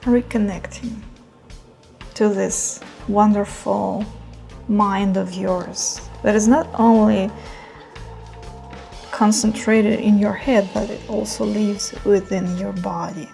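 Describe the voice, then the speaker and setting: A woman leading a guided meditation in a soothing, unhurried manner with gentle pauses. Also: medium pitch, fairly even intonation, gravelly, soft background music.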